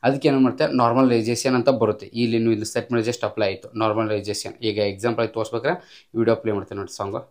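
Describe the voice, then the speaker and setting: A man's voice: on the low side, level tone, very fast. A man hosting a casual tech tutorial, giving step-by-step instructions, often repeated, with English tech terms mixed in. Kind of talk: casual screen-recorded app tutorial.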